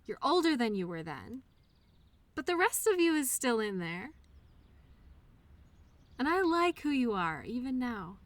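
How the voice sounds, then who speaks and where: A woman playing a loyal childhood imaginary friend in an audio roleplay, speaking in an earnest, reassuring, gently encouraging way. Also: on the high side, very animated, unhurried, long pauses, no room sound. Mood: gentle, reassuring. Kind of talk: one-sided audio roleplay, intimate scripted conversation.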